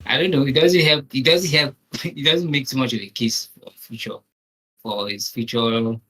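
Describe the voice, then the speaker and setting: A man's voice: mid-range voice, fairly even intonation, moderate pace, sharp tone, slightly rough, poor audio. A young man in casual discussion on a video call, repeating phrases as he reasons. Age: young adult.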